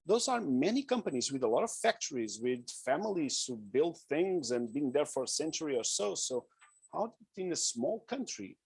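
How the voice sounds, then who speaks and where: Portuguese-accented man giving a husky talk. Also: a little high, very animated, normal speed, darkish tone, dry recording. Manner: informal, self-deprecating, with frequent fillers and restarts. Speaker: conference speaker. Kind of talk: conference presentation. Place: conference room.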